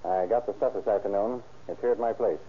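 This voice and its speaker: A man's voice: on the low side, steady tone, fast, breathy edge. An actor playing a wry, colloquial undercover man in an old radio drama. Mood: brisk. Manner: wisecracking, colloquial. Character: wry, breezy male undercover private secretary. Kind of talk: 1930s radio drama acting. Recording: tinny, hissy old radio recording.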